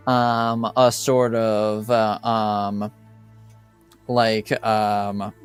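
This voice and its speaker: A man narrates a tabletop game as its game master over music. His delivery is unhurried and halting as he feels for the next phrase, and his tone is clean and smooth. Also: medium pitch. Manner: halting, searching for words. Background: background music.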